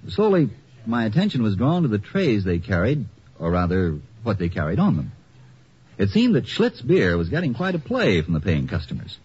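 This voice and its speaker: A man's voice: medium pitch, darkish tone, natural voice. An actor playing a contented vacationer, telling his story with leisurely drama as a scripted 1950s radio commercial. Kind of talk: 1950s radio ad, scripted first-person narration. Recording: muffled, noisy old 1950 radio recording.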